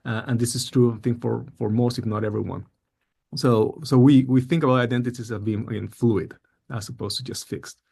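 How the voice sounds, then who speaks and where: A middle-aged man from Peru giving an academic presentation on his research project, halting often with fillers and repeated words. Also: medium pitch, steady tone, gravelly, mellow, slightly rough.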